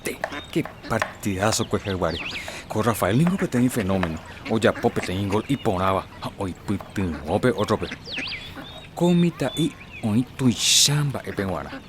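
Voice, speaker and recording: A talkative, opinionated village man, voiced by an actor in a radio drama. His voice sits low, and clear background noise runs under it. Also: big pitch swings, a little slow.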